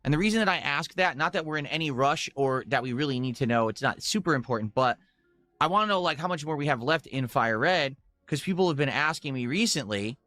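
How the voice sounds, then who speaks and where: A man's voice: a little high, lively intonation, quick pace, sharp tone, no echo. A man chatting casually to his viewers on a gaming livestream in a rambling, audience-focused way.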